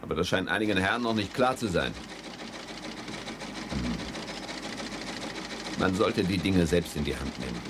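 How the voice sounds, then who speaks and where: Bossy, sardonic schemer, swooping intonation, radio play with clear office noise behind. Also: male voice, low-pitched, lively intonation, moderate pace, pauses often. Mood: impatient, scheming. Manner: domineering, sly, jovially mocking. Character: brash, scheming male commodity speculator, bossy and sardonic. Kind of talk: 1970s German radio drama acting.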